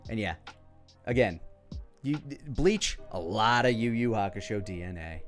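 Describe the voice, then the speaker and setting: A man's voice: on the low side, animated, unhurried. A man in his thirties with an American accent giving casual, off-the-cuff commentary in a rambling way, often interrupting himself. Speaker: video creator. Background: background music.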